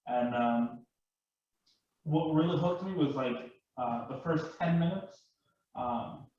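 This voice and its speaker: Halting man in a heavily echoing room. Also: fairly high pitch, darkish tone. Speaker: live action roleplay enthusiast, panel guest. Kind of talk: casual personal storytelling. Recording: very echoey room, distant mic, muffled.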